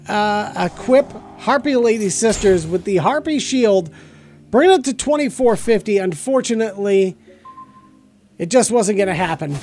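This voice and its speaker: A man's voice: high voice, a little mellow. A middle-aged American man giving casual, close-miked commentary on a card game as the host. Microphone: close desk microphone.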